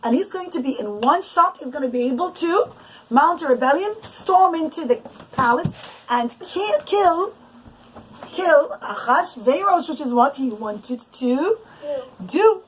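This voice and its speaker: A woman telling a bedtime story to children off the cuff, in a halting way with emphatic repetition. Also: sits high, expressive, slight background noise, low-quality recording. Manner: halting, restarts and loses the thread, emphatic repetition.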